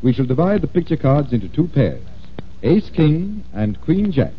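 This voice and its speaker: A man's voice: a little high, very animated, moderate pace. An actor playing a confident magician detective in 1940s radio style, explaining things patiently like a showman. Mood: showmanlike, patient. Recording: dull, noisy old-time radio recording.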